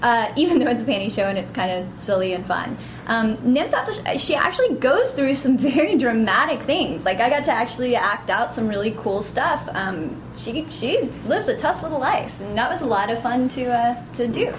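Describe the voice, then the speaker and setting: A woman's voice: on the high side, expressive, normal speed, few pauses. Bubbly young-sounding woman, echoey room. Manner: bubbly, upbeat. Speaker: voice actress, interview guest. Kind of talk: casual interview chat. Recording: echoey room with background noise.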